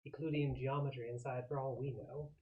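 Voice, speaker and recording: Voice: medium pitch, fairly even intonation, a little fast, lo-fi sound. A tabletop game player with a mid-range voice talks through options with the group. The delivery is casual, half in character.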